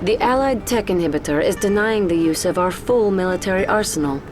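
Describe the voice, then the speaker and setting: An actress playing a brisk Soviet military officer giving mission briefings in a video game. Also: female voice, medium pitch, natural voice. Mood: urgent, businesslike. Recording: music behind.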